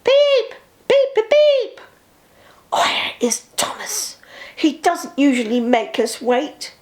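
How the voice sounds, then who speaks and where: A woman puts on a fussy storybook steam engine, impatient to be off. His voice is very high and piping, with whistling peeps. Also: wildly swooping pitch, slow, a little mellow, heavily acted. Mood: fussing. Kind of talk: children's storybook character voice.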